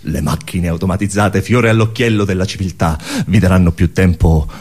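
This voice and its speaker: An actor playing a pompous alien orator who coldly addresses inferior humans in formal, deliberate declamation, in an absurdist theatre speech. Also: male voice, low-pitched, steady tone, darkish tone, slightly rough. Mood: smoothly menacing.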